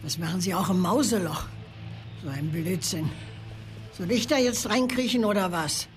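A woman's voice: lowish voice, moderate pace, soft background music, close to an everyday voice. An actress playing a blunt, scolding, secretive old woman in a radio drama. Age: older. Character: gruff, secretive old woman living in a cave. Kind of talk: German radio drama acting.

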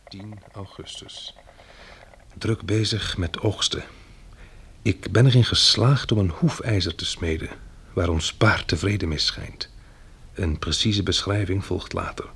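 A man acting in a radio drama as a practical, sober farmer surviving a catastrophe, speaking in a measured, matter-of-fact way. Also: deep voice, fairly bright tone, slightly rough, heavily acted. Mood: sober, weary.

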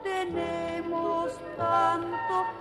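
A woman's voice: extremely high pitch, completely flat delivery, extremely slow, fairly bright tone, echoey room, faint music behind, full character voice. A woman playing a wistful, lovestruck young fairy-tale heroine in a musical storybook song. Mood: wistful, longing.

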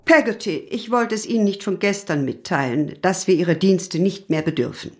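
A woman's voice: on the low side, animated, normal speed, a little mellow, faint music behind, close to an everyday voice. A woman playing a cold, severe mistress of the house in a radio play, curt and formal. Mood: cold, dismissive.

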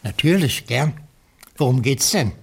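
A man's voice: medium pitch, animated, a little slow, gravelly, slightly rough, heavily acted. An actor playing a courteous, salesmanlike male small-town shopkeeper in a radio crime drama. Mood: helpful, musing. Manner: obliging, polite, salesmanlike.

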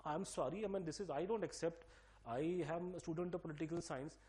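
Middle-aged Delhi-accented man, quick-fire emphatic explainer, higher-pitched voice, lots of restarts. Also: a little mellow. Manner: rapid, emphatic, many fillers and restarts. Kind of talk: interview-style explanation.

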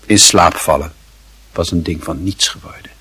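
A man narrating a literary radio drama in a solemn, measured and expressive manner. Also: sits low, breathy edge, no echo, full character voice.

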